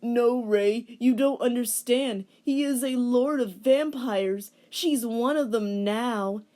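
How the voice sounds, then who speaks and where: A woman's voice: a little high, slow pace, dark tone, pitched above the performer's own voice. A woman voicing a protective, emotional male half-demon anime hero in a fan fiction reading, overwrought and pleading. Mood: distraught.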